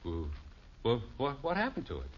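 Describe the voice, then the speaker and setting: A man's voice: medium pitch, huge pitch swings, normal speed, husky. An actor playing a flustered, wordy, well-meaning middle-aged suburban father in a 1950s radio sitcom, with an American accent and a hesitant, mock-formal manner. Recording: muffled, noisy old radio recording.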